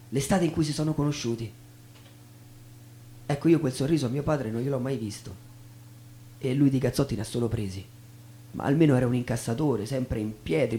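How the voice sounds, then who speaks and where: A man's voice: medium pitch, close to an everyday voice. Man acting an embittered working-class son. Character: embittered working-class son recalling his factory-worker father. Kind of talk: theatrical monologue on stage.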